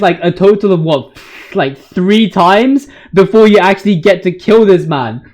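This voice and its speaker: High-pitched, hyped young man. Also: darkish tone. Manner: hyped, slangy, rambling. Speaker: video host. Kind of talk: casual video game commentary.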